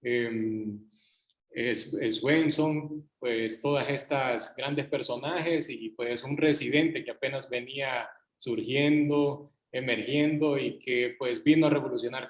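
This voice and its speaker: A man, hesitant and deferential, moderating an academic medical session. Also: mid-range voice. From Honduras. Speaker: surgical resident, session moderator. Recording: echoey, roomy sound.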